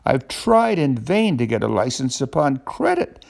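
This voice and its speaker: Anxious terrier shopkeeper read by an older male storyteller, gravelly, animated pitch, formal and fretting, storybook. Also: measured pace, exaggerated voice. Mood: worried.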